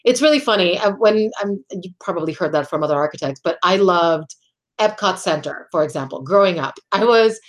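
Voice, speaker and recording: A middle-aged woman from Puerto Rico speaks in a fairly low voice, answering questions with thought and care. She often restarts her phrases and laughs lightly between ideas. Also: expressive, a little fast. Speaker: guest architect. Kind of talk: conversational interview answers.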